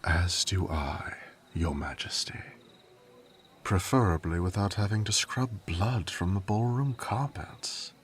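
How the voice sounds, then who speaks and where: A man performs a devoted servant of a fantasy royal household. His voice is very low and he takes his time, choosing formal, courteous words with restrained dry humor. A faint noise sits behind the recording. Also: slow. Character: loyal, courtly male royal servant, dryly witty. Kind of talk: dramatic fantasy court audio drama.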